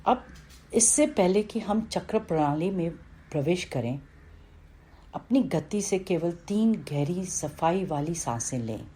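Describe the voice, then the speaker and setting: A woman reading a scripted guided meditation in a calm, instructional way, with pauses between phrases. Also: fairly low pitch, slight background noise, poor audio.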